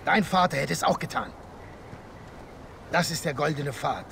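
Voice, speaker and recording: An intense rebel commander in a dramatic video game scene. His gravelly voice is fairly high and forceful, full of reproach, moving from hushed to loud. Outdoor noise sits clearly behind him. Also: expressive, normal speed. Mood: heated, insistent. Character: intense, reproachful male rebel leader, driven by tradition. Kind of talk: dramatic video game acting in German dub.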